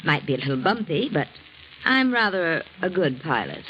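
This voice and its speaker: A poised American wife in a 1940s radio drama, all false politeness and veiled insinuation. Her lowish voice rises and falls animatedly as she schemes. The old recording is muffled, with some noise. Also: very animated, moderate pace. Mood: calculating, polite. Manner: genteel, pointed, insinuating.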